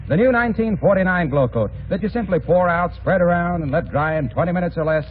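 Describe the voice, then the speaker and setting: A man's voice: high voice, fast. An American man delivering a 1940s radio commercial as an emphatic, enthusiastic announcer. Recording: dull, noisy 1940s radio recording.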